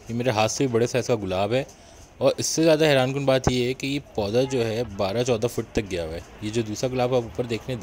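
A man from Pakistan hosts a travel vlog, pointing things out to his viewers in an easy, chatty way. His voice sits at an ordinary mid pitch. It is a handheld phone recording made outdoors, with clear traffic and outdoor noise behind him. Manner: chatty guide talking to viewers while walking. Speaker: travel vlogger. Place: outdoors, on a bus and by a roadside cafe.

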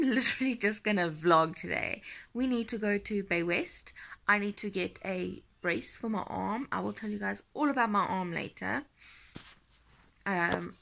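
Croaky half-asleep woman whispering in bed. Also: medium pitch, a bit bright. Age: 20s. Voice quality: croaky, tired. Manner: sleepy, half-whispered chatter. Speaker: vlogger. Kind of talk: casual vlog chat. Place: bedroom, lying in bed. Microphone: phone held close.

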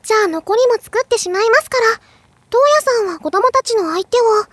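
Gentle, high-voiced teenage girl. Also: expressive, brisk, no echo. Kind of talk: anime audio drama acting.

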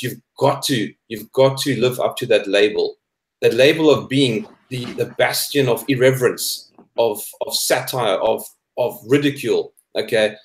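A South African man in his thirties giving opinions in a debate chat, emphatic and repeating phrases for stress. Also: mid-range voice. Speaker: guest, cartoonist. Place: indoor room, video call. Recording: echoey room over video call.

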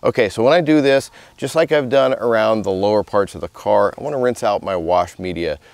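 A man's voice: fairly low pitch, brisk, gravelly, a little mellow, no echo. A middle-aged man giving a casual, conversational hands-on tutorial as a car detailer, speaking outdoors on a close mic. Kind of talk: instructional demonstration, conversational. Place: outdoors in a residential driveway.

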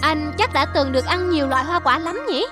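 Woman voicing a very high, sly cartoon parrot. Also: expressive, a little fast. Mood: polite, coaxing. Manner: coaxing, feigned politeness. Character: sly, polite cartoon parrot who outwits bullies. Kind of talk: children's cartoon voice acting. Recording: music behind the voice.

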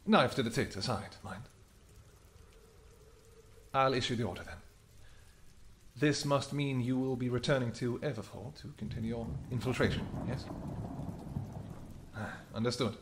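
A man voicing a protective royal vampire husband in a scripted audio roleplay, soft-spoken and affectionate, with hesitant pauses. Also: mid-range voice, slight background noise, close to an everyday voice. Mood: commanding.

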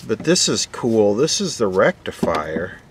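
Slow-paced man thinking aloud. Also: fairly low pitch, very animated, a little hiss or hum. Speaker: host, vintage radio repairer. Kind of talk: casual off-the-cuff repair narration. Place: beside an old console radio being worked on.

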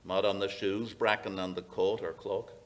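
An older man playing a commanding, inquisitive detective in old-time radio mystery style, speaking in a deliberate, authoritative way. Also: deep voice, flat delivery, lightly acted. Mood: probing, confident. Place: small radio-style studio stage, indoors. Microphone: stand microphone.

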